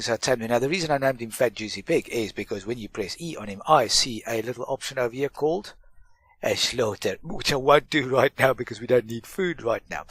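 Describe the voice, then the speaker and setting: A man's voice: medium pitch, fairly bright tone, breathy edge, dry recording. Stuffy-nosed chatty man, dry close sound. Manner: chatty, self-mocking, rambling asides. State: stuffy from a cold. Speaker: gaming channel host. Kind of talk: casual gameplay tutorial.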